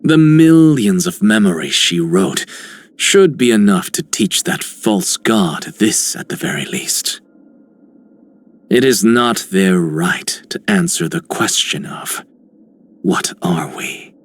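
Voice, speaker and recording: A lowish, unhurried male voice for a haughty game scholar. He swoops theatrically in pitch, proud and defiant. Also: sing-song, full character voice. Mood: defiant, dry. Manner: haughty, dramatic. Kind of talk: video game character voice lines.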